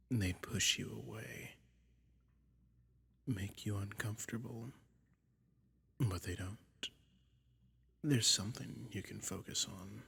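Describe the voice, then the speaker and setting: A man plays a dragon lurking in his cave, soothing and quietly teasing. The voice is a very breathy whisper with a gravelly creak. He speaks extremely slowly, in a hypnotic ASMR roleplay manner. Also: fairly low pitch, exaggerated voice. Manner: slow, lulling, teasing. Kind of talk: soft-spoken ASMR roleplay with hypnotic relaxation.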